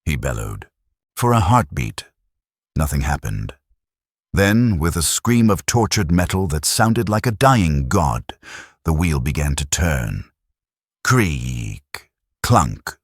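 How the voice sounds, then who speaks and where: A man reading a science fiction audiobook with grave drama. His voice is extremely deep and husky, with plenty of movement in pitch. The sound is close and dry. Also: sing-song, slow pace, dry recording. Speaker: narrator. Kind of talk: scripted audiobook narration.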